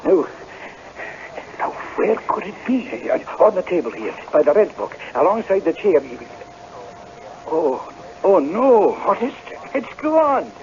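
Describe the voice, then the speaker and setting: An actor playing an earnest, easily flustered young Scottish tenant in a radio drama, with a stammering, agitated way of telling things. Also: male voice, high-pitched, sing-song, measured pace, a little mellow. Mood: flustered alarm. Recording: dull, noisy old radio recording.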